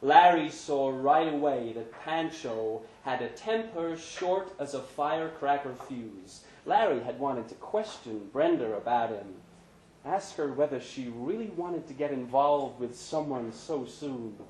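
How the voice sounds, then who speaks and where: A man giving a measured, dramatic live reading of a literary short story. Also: medium pitch, animated, a little mellow. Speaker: story reader. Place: pub event room, live reading. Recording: echoey pub room, noticeable background noise.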